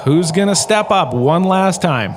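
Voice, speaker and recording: Man playing a self-important, higher-voiced male professor. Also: expressive, a little slow, noisy background. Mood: wry, grandiose. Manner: theatrical, conversational, self-satisfied. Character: self-important, chatty male professor, washed-up holodrama star. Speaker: lecturer, host of the show. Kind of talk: comic in-universe lecture, audio drama.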